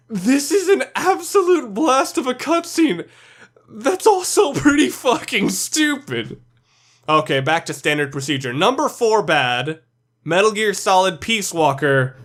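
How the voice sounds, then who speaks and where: A man's voice: a little high, fairly bright tone. A man delivering scripted, opinionated video commentary as a countdown reviewer, blunt and sprinkled with swearing.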